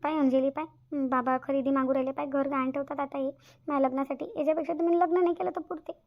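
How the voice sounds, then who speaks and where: A woman plays a young village bride-to-be in a cartoon, anxious and stubborn. Her high, quick voice frets in a rural Maharashtrian accent, on a dull soundtrack. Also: steady tone. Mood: defiant. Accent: rural Maharashtrian Marathi. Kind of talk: cartoon comedy dialogue.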